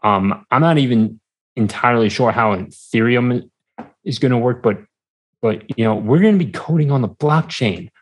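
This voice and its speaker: A man in his twenties chatting casually as a podcast guest, speaking in halting, hesitant, broken phrases. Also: mid-range voice, lively intonation. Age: 20s. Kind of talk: casual interview chat.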